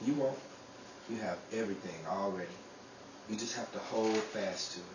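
A man's voice: on the low side, moderate pace, close to an everyday voice. A man playing a solemn, mysterious messenger who exhorts and guides in an old-time radio drama. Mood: gentle, firm. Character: solemn, mysterious male messenger giving guidance. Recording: roomy, distant, clearly noisy recording.

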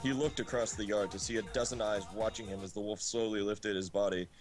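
A man's voice: lowish voice, fairly even intonation, a little fast, music in the background. A man reading a story aloud in an amateur, informal way on a group livestream. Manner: breaks into laughter and asides. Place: voice chat on a game livestream.

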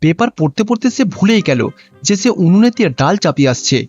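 High-pitched, animated male storyteller. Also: quick pace, lo-fi sound, faint music behind. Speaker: narrator. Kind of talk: scripted cartoon story narration.

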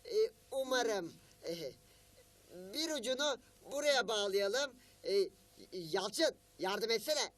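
Eager, unsure young cartoon character: high, bright, slow and halting. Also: male voice, lively intonation, full character voice. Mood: hesitant, eager. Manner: hesitant, halting, musing aloud. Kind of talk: children's cartoon voice acting.

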